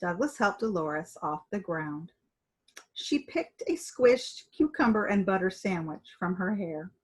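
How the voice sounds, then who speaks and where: A woman reading a children's story aloud in an expressive, dramatic and deliberate way, with a husky voice. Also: medium pitch. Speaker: storybook reader.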